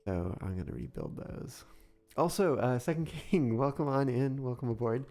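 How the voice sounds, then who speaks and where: A man streams a train-building game, thinking out loud in an unhurried, soothing voice while he recovers from a recent illness. His tone is mellow, with a gravelly edge, and faint music plays beneath him. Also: mid-range voice, expressive. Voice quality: soft, soothing. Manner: slow, musing, thinks aloud through sums, greets chat viewers. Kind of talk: casual live-stream commentary while building a model railway in a game. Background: soft background music.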